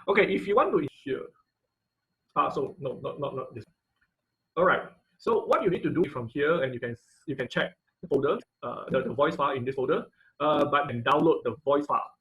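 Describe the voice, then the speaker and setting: A man's voice: mid-range voice, a little fast, fairly bright tone. A man giving an informal live online technical class as instructor, often repeating words and rephrasing mid-sentence. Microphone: video call microphone. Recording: dull, lo-fi video call audio.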